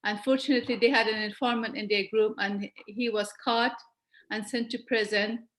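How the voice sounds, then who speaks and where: A woman's voice: a little high, moderate pace, rough recording. Woman giving an earnest webcast talk. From Afghanistan. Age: 40s. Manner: earnest, steady storytelling with small restarts. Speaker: guest presenter. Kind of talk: webcast presentation. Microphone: video call.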